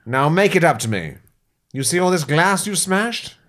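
A man's voice: fairly high pitch, very animated, moderate pace, fairly bright tone. A man voicing an imperious, bullying male games critic with a haughty, scolding manner. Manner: haughty, scolding, swings into sudden emphasis.

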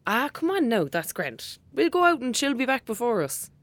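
A woman's voice: fairly high pitch, sing-song, normal speed, no echo. A woman playing a bold, teasing young friend in an audio drama, with an Irish accent and a wry, cajoling, colloquial manner. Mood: coaxing. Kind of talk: naturalistic audio drama acting.

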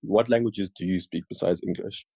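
A man's voice: sits low, fairly even intonation, normal speed, gravelly, dark tone, husky, no echo. A young South African man co-hosting a podcast, chatting casually and joking with his co-host.

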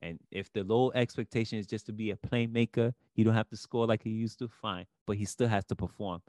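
A man's voice: on the low side, steady tone, fairly quick, dry recording. A man with a Haitian accent, co-hosting a podcast, arguing about sports with emphatic, rising outbursts. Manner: emphatic, rising to near shouts when worked up. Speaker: podcast co-host. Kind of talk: heated sports debate.

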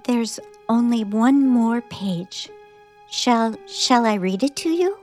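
A woman acting a frightened, unhinged woman in a radio play, halting and dramatic, repeating her phrases. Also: on the high side, animated, darkish tone. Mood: fragile, dazed. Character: frightened, unhinged woman, now giddy and triumphant. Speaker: actor. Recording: music behind the voice. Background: music.

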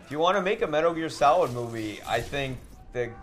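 Male podcast host, mid-pitched, opinionated and conversational, gaming as he talks, noisy recording. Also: lively intonation, brisk, a bit bright. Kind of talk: casual chat while playing a video game. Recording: clearly noisy room recording.

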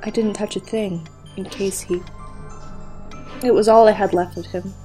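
An actress playing a grieving, fragile mother in an audio play, with halting, emotional delivery. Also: mid-range voice, steady tone, mellow, soft background music, lightly acted. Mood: wistful, defensive. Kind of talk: dramatic audio play acting.

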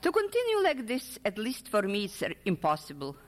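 A woman's voice: medium pitch, wildly swooping pitch, normal speed. A woman voicing an earnest, hesitant older man in love, in a literary story reading. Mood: anxious, confessing. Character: silver-haired widowed older man, earnest, hesitant suitor. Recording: echoey hall with long reverb.

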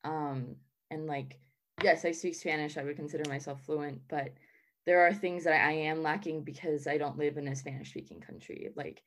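Young woman from the us south, low voice, rambling casual answers w lots of likes and ums. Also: steady tone, moderate pace. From Southern United States. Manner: chatty, lots of "like" and "um" fillers. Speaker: interviewee.

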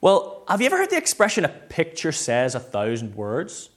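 Animated Northern Irish man preaching informally. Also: fairly high pitch, moderate pace, husky. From Northern Ireland. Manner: conversational, self-quoting, acts out short bits of dialogue. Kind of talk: informal sermon with personal stories.

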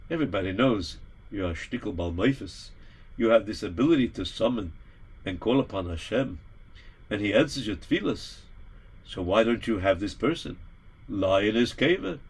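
A man doing a hushed, pleading devotee. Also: on the low side, pitched below the performer's own voice. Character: humble devoted male follower, wheedling.

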